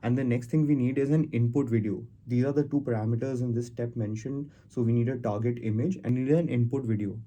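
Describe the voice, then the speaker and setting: A man hosting a casual software tutorial, explaining things step by step as he goes. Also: mid-range voice, fairly even intonation, fast talker, hardly any pauses. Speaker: tutorial host. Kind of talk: casual screen-recorded software walkthrough.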